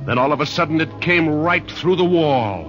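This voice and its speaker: An actor playing a plainspoken storyteller, narrating in colloquial 1940s radio style. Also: male voice, a little high, animated, normal speed, lightly acted. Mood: storytelling. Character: plainspoken male storyteller of a Christmas tale. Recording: muffled 1940s radio, music behind.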